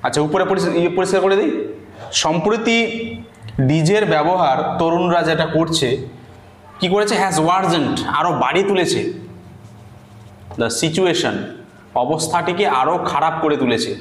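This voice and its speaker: A man with a Bengali accent teaching English writing, dictating deliberately word by word and explaining as he goes. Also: fairly high pitch. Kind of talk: slow dictation lesson with translation and explanation. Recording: echoey room with faint background noise.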